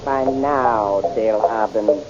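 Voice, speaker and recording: A man's voice: lowish voice, slow, loud music behind the voice. An actor playing a cruel, haughty male tyrant emperor in a 1930s radio serial, commanding and menacing, on a muffled old recording with hiss.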